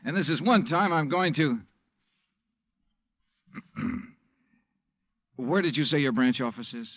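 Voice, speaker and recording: A weary, wry insurance detective. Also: male voice, fairly high pitch, expressive, slow, no echo, highs cut off. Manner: dry, wry first-person narration. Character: weary wisecracking male insurance investigator. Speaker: narrator and lead detective. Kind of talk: 1950s radio drama acting.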